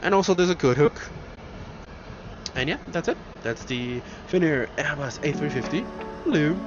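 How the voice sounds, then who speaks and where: A man's voice: on the high side, animated, moderate pace, loud music behind the voice. A man giving a casual, rambling first-person travel review, thinking aloud inside an airliner cabin. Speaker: travel vlogger reviewing a flight. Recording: muffled with loud aircraft cabin noise.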